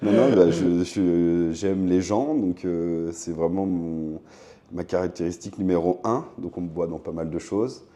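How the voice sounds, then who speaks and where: A man with a deep, extremely dark and relaxed voice. He is an interview guest from France, explaining his work as a shop owner in a casual, conversational way. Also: a little slow. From Le Mans, France.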